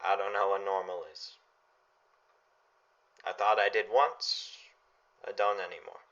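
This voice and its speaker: A bitter, brooding middle-aged householder in a radio drama, acted by a man. His voice is low yet forceful, speaking haltingly on a slightly dull recording. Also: level tone. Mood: shaken, brooding. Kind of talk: radio play acting. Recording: dull lo-fi audio.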